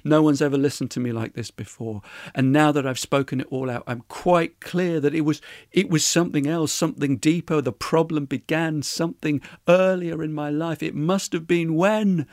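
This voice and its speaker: A man voicing a melancholic lady in a told story, swept up in revelation, gushing and halting as she speaks. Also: on the high side, fairly even intonation, a little mellow, no room sound, clean studio sound, voice pushed up, close to an everyday voice. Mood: astonished. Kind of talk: storytelling character voice.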